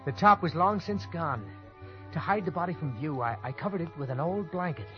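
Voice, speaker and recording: A man's voice: fairly high pitch, fairly quick, close to an everyday voice. An actor playing a panicked young man who narrates his own desperate cover-up in old-time radio suspense style, tense and stammering when pressed. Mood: tense narrating. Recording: dull old radio recording, loud music.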